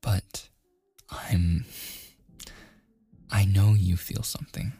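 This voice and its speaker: A man playing a smitten, insistent young man in a boyfriend ASMR roleplay, speaking softly and haltingly, at times in a breathy, coaxing whisper. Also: low-pitched, steady tone, faint music behind, exaggerated voice. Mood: earnest, coaxing.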